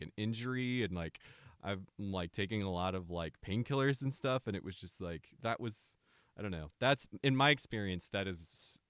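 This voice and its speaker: A man with a deep voice co-hosting a live stream, talking hesitantly with many fillers and restarts. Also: fairly bright tone, dry recording, studio quality. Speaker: stream co-host. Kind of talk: casual chat.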